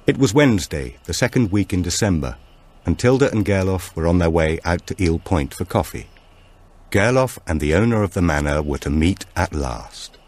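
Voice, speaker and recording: A man's voice: low voice, expressive, moderate pace, a little mellow. A man reading a supernatural thriller aloud as a scripted audiobook narration.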